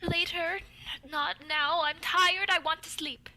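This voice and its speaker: A woman portrays a frail young woman fading under a vampire's thrall. Her high, bright voice drags slowly, plaintive and weary. The delivery is old-time radio melodrama. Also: fairly even intonation, full character voice, poor audio. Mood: agitated, pleading. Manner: plaintive, weary, breathy at times. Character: weak, dying young woman enthralled by a vampire. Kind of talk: vintage radio drama acting.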